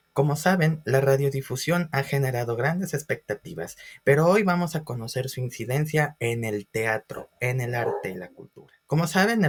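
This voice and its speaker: A man presenting an informal online class as a teacher, expressive and speaking directly to his listeners. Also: medium pitch. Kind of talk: informal educational lesson for video.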